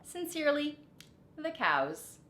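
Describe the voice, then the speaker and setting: A woman voicing politely demanding cows in a picture book, with deliberate, formal phrasing. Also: sits high, sing-song, fairly bright tone, pitched above the performer's own voice, exaggerated voice. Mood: polite, firm. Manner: deliberate, formal letter-reading. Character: polite but firm cows writing demands. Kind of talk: picture-book read-aloud. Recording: roomy echo, background noise.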